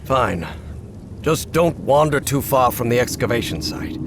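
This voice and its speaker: An actor playing a protective, stern, scholarly professor in a video game, with formal, precise diction. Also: male voice, mid-range voice, expressive, measured pace, noisy background, close to an everyday voice. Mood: absorbed, scholarly.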